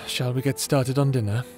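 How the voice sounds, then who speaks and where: A man acts out a villainous necromancer husband for a romantic ASMR audio drama, doting and smug. He speaks slowly at a middling male pitch, with formal, theatrical phrasing and a tender touch. Music plays faintly behind him. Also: fairly even intonation, a little mellow, lightly acted. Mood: confiding, scheming.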